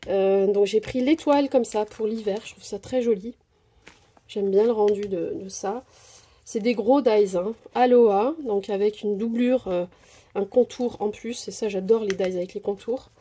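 A woman's voice: medium pitch, moderate pace, dark tone, husky. A woman casually narrating a craft supply haul at her table, chatty and hesitant with many fillers. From Portuguese descent. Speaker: craft vlogger. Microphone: camera over table, hands only.

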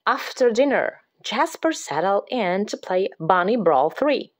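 A woman reading a picture book aloud to children, dramatic and expressive, dropping into hushed whispers at the creepy parts. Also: medium pitch, a little slow. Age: adult. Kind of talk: expressive read-aloud storytelling.

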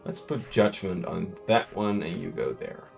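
A man's voice: sits low, unhurried, gravelly, faint music behind. A Dutch man with a Dutch accent, narrating a tabletop game as host and game master and chatting casually while he plays, laid-back and swearing casually. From Netherlands. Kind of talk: casual gameplay commentary.

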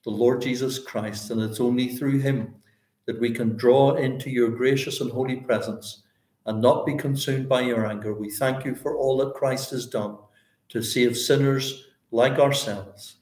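Slow, solemn Northern Irish pastor in his sixties. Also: mid-range voice, steady tone, darkish tone. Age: 60s. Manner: solemn, earnest, halting phrase by phrase. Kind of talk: extempore public prayer, church service. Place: indoors, small bare-walled room. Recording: echoey room.

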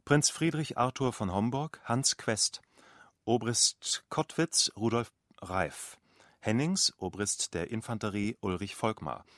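Brief: male radio announcer, lowish pitch, formal reading, very close dry sound. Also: slow pace, fairly bright tone, dry recording. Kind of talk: formal scripted cast announcement.

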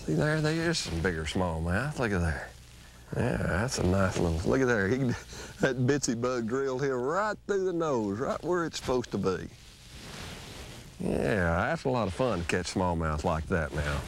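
A man's voice: fairly low pitch, a little hiss or hum. A middle-aged man, hosting a fishing show outdoors by a lake. He explains fishing techniques in an easygoing, folksy way. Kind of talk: instructional fishing demonstration. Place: outdoors on a lake, on a boat and on the shore.

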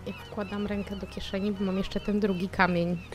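A woman's voice: medium pitch, flat delivery, normal speed, a little hiss or hum. A woman playing a tabletop role-playing game, chatting casually in character.

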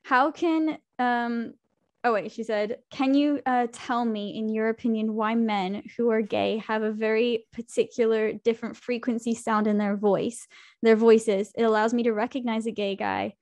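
A woman's voice: a little high, steady tone, moderate pace, dry recording, dull audio. Young woman, dry close voice. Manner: friendly, reads questions aloud. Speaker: podcast host. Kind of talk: hosting, reading listener questions. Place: home room. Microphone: studio mic on a video call.

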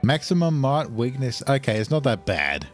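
A young Australian man swearing freely and reacting loudly as he chats while streaming a game. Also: medium pitch, sing-song, moderate pace, faint music behind. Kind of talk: casual live game commentary.

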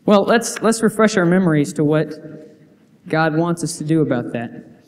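A man's voice: fairly high pitch, lively intonation, normal speed. Young man preaching in an echoing church. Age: young adult. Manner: earnest, reads quotes aloud, frequent restarts. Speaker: youth preacher. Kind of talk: sermon with scripture reading. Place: church sanctuary. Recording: church hall reverb.

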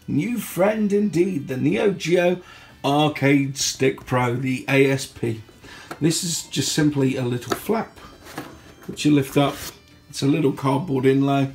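Male reviewer from the UK, sore throat, gravelly, chatty, on a handheld phone at home. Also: medium pitch, a little slow, darkish tone, soft background music. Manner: chatty and enthusiastic, clears throat. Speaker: product reviewer. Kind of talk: casual unboxing review. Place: indoor home room. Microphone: phone held in hand.

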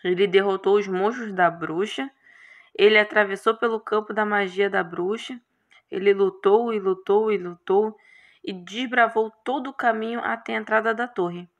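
A woman's voice: mid-range voice, fairly even intonation, normal speed, highs cut off. A woman with a Brazilian accent, hosting a casual gaming let's play and reading aloud as she goes. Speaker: gaming channel host.

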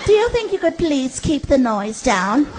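A man's voice: very high-pitched, very animated, normal speed, a little mellow, voice pushed up. A man voicing a prim, exasperated female hotel front desk clerk in a customer-service tone, in a comedy bit. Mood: polite. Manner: polite customer-service tone, scolding. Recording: noisy live show, audience behind.

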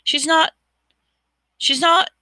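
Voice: fairly even intonation, takes it slowly, bright, forceful tone, no room sound, exaggerated voice. A reader voicing a frantic, desperate schoolboy wizard, pleading in a raised voice. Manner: pleading, urgent, high-pitched.

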